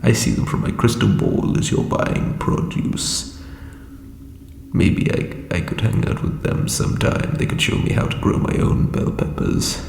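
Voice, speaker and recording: A man voicing a hapless, soft-hearted skeleton lich king in an audio roleplay, hesitant, stammering and sheepish as he rambles. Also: lowish voice, huge pitch swings, unhurried, gravelly, echoey room, long reverb tail, exaggerated voice. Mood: wistful, sheepish. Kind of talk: character roleplay audio drama monologue.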